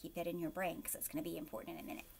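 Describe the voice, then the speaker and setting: A woman teacher reading a picture book aloud to children, expressively, pausing to explain and ask them questions. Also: low voice, gravelly, husky. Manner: expressive, asks young listeners questions.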